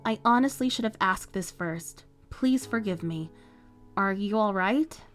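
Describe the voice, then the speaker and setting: A woman voicing a patient female teacher who speaks in a calm, measured, gently firm way. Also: a little high, faint music behind, lightly acted. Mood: gentle. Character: patient adult female teacher, stern but kind.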